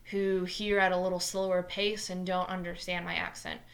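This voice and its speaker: Fast-talking New Jersey woman teacher. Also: on the low side, level tone, vocal fry, fairly bright tone. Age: 20s. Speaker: math teacher. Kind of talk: scripted lesson narration.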